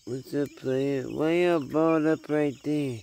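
A man's voice: a little high, fairly even intonation, a little slow, creaky, darkish tone, slight background noise. A man filming his backyard homestead at night, talking casually to his chickens in a laid-back, slangy way, with a handheld phone camera outdoors. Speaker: homesteader vlogger. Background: crickets and night insects.

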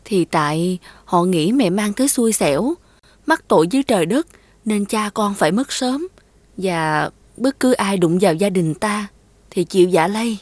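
A woman voicing a meek, weary widowed mother in an animated folktale, humble and resigned as she speaks. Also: mid-range voice, normal speed, dry recording, close to an everyday voice. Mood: sorrowful.

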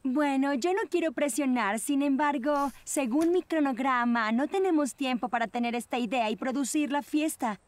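Exacting, high-voiced teen girl played by a woman. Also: normal speed, few pauses, slight background noise, lightly acted. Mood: pressing. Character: organised, schedule-obsessed, exacting teenage girl. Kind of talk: animated children's cartoon dub. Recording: music behind the voice.

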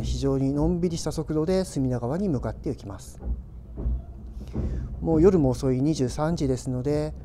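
A man giving unhurried, casual travel vlog narration, explaining things in drawn-out phrases. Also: medium pitch, mellow. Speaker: railway travel vlogger. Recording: clear train and station noise behind.

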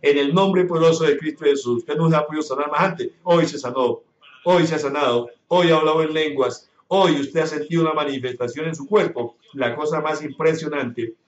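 Male pastor, slightly high voice, fervent repetitive chanting, speaking in tongues, echoey church, dull radio sound. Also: brisk. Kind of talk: fervent healing prayer on radio broadcast. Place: church service.

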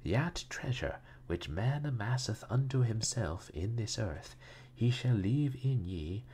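Man acting a brusque, excitable theatrical magnate, pitched up. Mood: slow, halting reading. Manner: terse, halting when reading out old writing.

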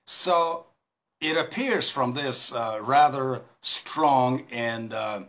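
A man's voice: mid-range voice, extremely slow, a bit bright. Casting: Russian-accented man in his fifties, hesitant Bible teacher speaking to a phone in a small room. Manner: hesitant, many fillers and restarts, gestures while talking. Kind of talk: informal recorded Bible talk. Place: small indoor room against a plain wall. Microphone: phone camera at arm's length.

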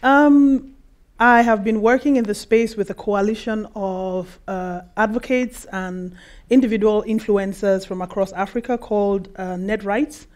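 A woman's voice: mid-range voice, fairly even intonation, a little slow, a little mellow. A woman from Cameroon with a Cameroonian accent, speaking semi-formally as an activist panelist. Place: seated on stage in a conference room.

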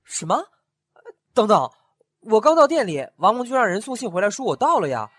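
A man's voice: high voice, very animated, a little fast, fairly bright tone, exaggerated voice. A man voicing a curious, naive young master in an audio drama who talks eagerly and asks many questions.

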